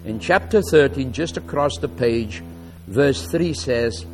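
South african guy preaching slow and easy, afrikaans is his first language, lots of uhs, faint music under him. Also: mid-range voice. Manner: relaxed and halting, with many "uh" fillers, louder and slower when reading scripture. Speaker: guest preacher. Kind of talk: sermon with scripture reading.

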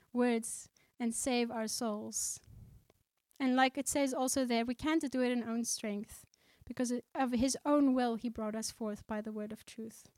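A woman from South Africa preaches with earnest conviction. Her voice sits fairly high, and she slows down to read scripture. The sound is close and dry, with little room echo. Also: darkish tone. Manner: conversational, with fillers and restarts. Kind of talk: sermon.

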